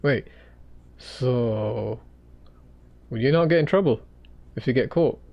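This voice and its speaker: A man hosting a livestream, chatting casually with his viewers while he draws, in a halting way full of restarts. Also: mid-range voice, creaky, no echo, highs cut off. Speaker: livestream host.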